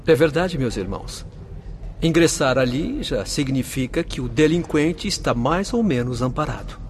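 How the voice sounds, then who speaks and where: An actor playing a calm, authoritative doctor in a radio drama, explaining things formally. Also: male voice, medium pitch, moderate pace, slight background noise, lightly acted. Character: calm, authoritative male doctor of a spirit hospital. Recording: music behind the voice.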